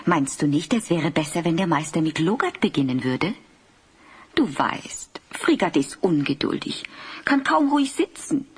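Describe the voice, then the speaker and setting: A woman's voice: sits low, very animated, normal speed, husky, full character voice. A woman playing a genteel, persuasive queen in a fantasy audio drama, speaking in a courtly, coaxing way. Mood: coaxing concern. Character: genteel, persuasive queen of an icy northern realm.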